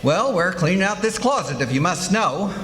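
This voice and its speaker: A man's voice: high-pitched, sing-song, normal speed, a bit bright. A man playing a boastful, folksy husband in a 1940s-style radio comedy, full of wisecracks and excuses. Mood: folksy bluster. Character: blustery, boastful, folksy husband who hoards junk. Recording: live stage recording with background noise.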